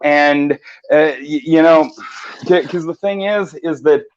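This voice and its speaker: A man's voice: on the high side, takes it slowly. A man hosting a casual morning live-stream chat, talking in long, passionate runs with frequent restarts. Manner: rambling, passionate, many restarts. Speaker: host. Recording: slightly muffled audio.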